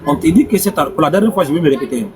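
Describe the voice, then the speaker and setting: A man's voice: a little high, expressive, racing, noisy background. A man in a heated religious debate, pressing his opponent with insistent, repetitive questions.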